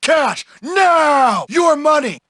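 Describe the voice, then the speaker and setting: A man voicing a huge, dim-witted, aggressive yet childlike schoolyard bully in a video game, with a loud strained voice and blunt broken English that refers to himself in the third person. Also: very high voice, animated, drawn-out words, no room sound, full character voice. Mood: menacing. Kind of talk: video game character lines.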